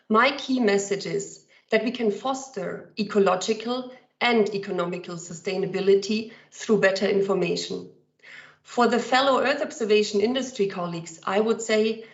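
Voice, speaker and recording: Give me a measured woman speaking in a roomy, muffled recording. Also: mid-range voice. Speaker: sector evangelist. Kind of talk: prepared statement. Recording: echoey dull lo-fi sound.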